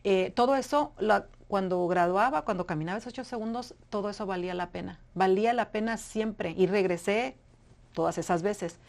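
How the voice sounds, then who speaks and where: A woman's voice: medium pitch, brisk, gravelly, a little mellow. A middle-aged woman, a guest in an interview, tells her personal story in an earnest, emotional way, often stopping to search for words.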